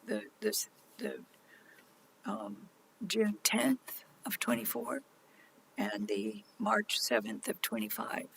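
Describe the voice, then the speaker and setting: A magistrate presiding over a formal city hearing, halting and filler-heavy, saying they are losing their voice. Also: medium pitch, very animated, creaky, a bit bright, slight background noise, low-quality recording. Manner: halting, many pauses and fillers. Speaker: special magistrate.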